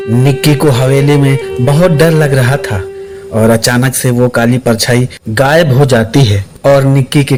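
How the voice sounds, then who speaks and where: A man narrating a scripted suspense story as the storyteller. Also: medium pitch. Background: background music.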